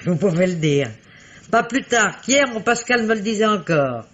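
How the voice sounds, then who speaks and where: A woman's voice: mid-range voice, expressive, normal speed, slight background noise, lightly acted. An actress playing a talkative, gossiping village innkeeper woman in a 1950s radio drama. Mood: chatty. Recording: dull old radio recording.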